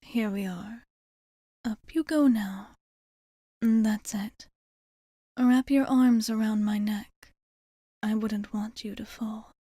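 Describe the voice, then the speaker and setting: A woman playing a gentle, protective female vampire in an ASMR roleplay, speaking softly and breathily with formal, old-fashioned courtesy. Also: medium pitch, steady tone, slow pace, long pauses, dry recording, clean studio sound. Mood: tender, protective. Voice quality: soft, breathy, often whispering.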